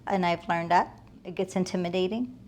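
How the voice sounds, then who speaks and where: Low-voiced woman, reflective and earnest. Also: normal speed, darkish tone, a little hiss or hum. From United States, moved from Afghanistan as a toddler. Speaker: interview guest, realtor. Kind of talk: conversational interview answers.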